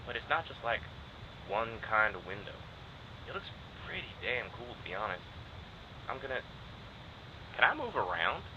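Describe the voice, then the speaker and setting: An actor playing a wry young test subject in an audio drama, speaking informally and haltingly with a sardonic edge. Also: male voice, mid-range voice, very animated, highs cut off, noisy background, lightly acted. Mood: hesitant, curious. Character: wry, rambling young man describing his memories, guarded test subject. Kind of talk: naturalistic audio drama acting.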